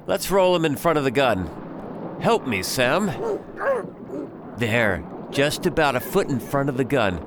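A man's voice: medium pitch, sing-song, background noise. Man acting a coldly vengeful pirate, swooping delivery. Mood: cold, commanding. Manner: menacing, deliberate, theatrical speechifying. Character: bereaved husband turned pirate, coldly vengeful, addressing his captive. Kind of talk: radio drama acting.

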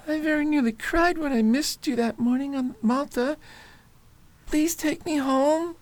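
Woman doing a scared little rich boy, high and pleading, radio play. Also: measured pace, darkish tone, no room sound. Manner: anxious, pleading, whispering at times. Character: frightened sickly young English lord, a boy. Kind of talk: amateur radio drama acting.

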